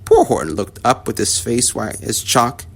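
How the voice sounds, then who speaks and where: Guy doing a kids read-aloud, lots of pitch swing, bouncy rhyme rhythm. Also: moderate pace. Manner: lively, expressive, rhythmic rhyming delivery. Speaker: storyteller.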